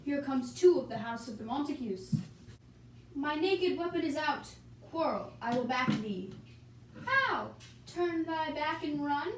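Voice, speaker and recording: A young woman performs archaic stage dialogue in an amateur, theatrical way. Her voice sits fairly high and moves at a slow pace. It sounds like a homemade puppet show recorded a little away from the mic. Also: animated. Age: young adult. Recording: echoey room, distant mic, hissy noise.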